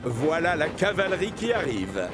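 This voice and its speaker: High-voiced male storyteller over music. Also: sing-song, normal speed. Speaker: narrator. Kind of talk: scripted children's story narration.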